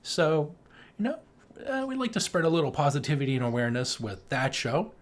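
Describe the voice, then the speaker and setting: A man's voice: a little high, big pitch swings, fairly quick. A man co-hosting a podcast, talking casually about his shows. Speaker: podcast co-host.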